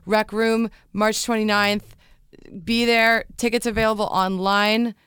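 A woman's voice: mid-range voice, flat delivery, unhurried, fairly bright tone, smooth, dry recording, studio quality. A woman chats casually as a musical guest, playful, jokey and self-deprecating. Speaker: singer-songwriter guest. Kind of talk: casual interview chat. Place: radio studio. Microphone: studio microphone.

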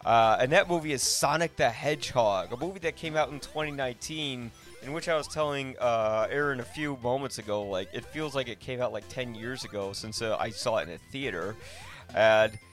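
A man hosting a podcast in a casual, rambling way, often halting and correcting himself. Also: medium pitch, fairly bright tone. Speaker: podcast host.

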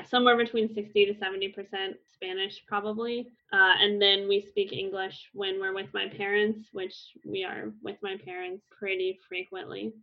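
Relaxed woman in her thirties, muffled. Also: mid-range voice. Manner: relaxed, with frequent fillers and restarts. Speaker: Spanish instructor. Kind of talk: casual conversational explanation. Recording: slightly muffled lo-fi recording.

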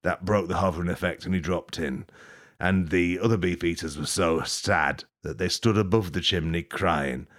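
A man rambling through an improvised mock tour-guide bit, deadpan and absurd, swearing and laughing at his own jokes. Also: deep voice, normal speed. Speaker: podcast host.

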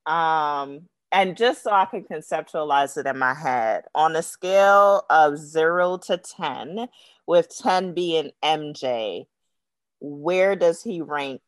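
A man's voice: fairly high pitch, lively intonation, slow, a bit bright, dry recording. A man hosting a casual podcast conversation and putting questions to his guest. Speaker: podcast host. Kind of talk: casual interview chat.

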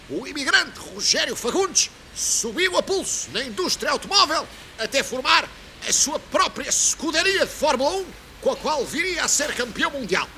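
A man playing a boastful, foul-mouthed immigrant factory worker in a comedy sketch, excitable and bombastic, with a European Portuguese accent. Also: very high voice, vocal fry, slight background noise, full character voice. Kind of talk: exaggerated comedy sketch acting.

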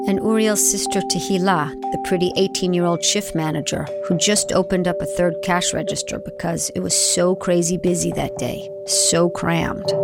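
A woman journalist narrating a documentary story in a quiet, reflective scripted reading. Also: lowish voice, creaky. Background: music bed.

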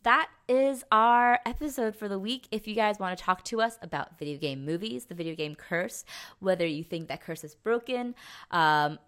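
A woman's voice: medium pitch, moderate pace, a bit bright, clear, resonant tone. A young adult woman co-hosting a podcast, chatting casually and enthusiastically. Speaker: podcast co-host. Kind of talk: casual chat.

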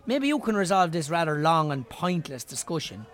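Chatty irish barman, high voice, really animated, opinionated, natural radio drama banter. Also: moderate pace. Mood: jovial. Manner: chatty, colloquial, emphatic.

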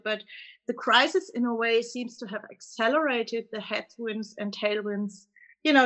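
A woman's voice: fairly high pitch, fairly bright tone, poor audio. German-accented woman analyst explaining markets. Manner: fluent, explanatory, frequent fillers. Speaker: investment analyst guest. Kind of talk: conversational market interview.

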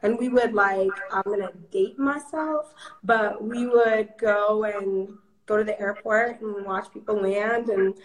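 A woman in her thirties with a Minnesotan accent, a lively guest who talks in casual slang. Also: mid-range voice, takes it slowly, poor audio. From Iowa, USA. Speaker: guest, media founder. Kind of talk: casual interview.

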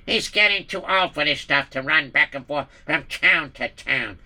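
A man's voice: a little high, expressive, unhurried, bright, forceful tone, exaggerated voice. A man voicing a scheming, boastful middle-aged villain who mocks and cackles. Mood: gloating.

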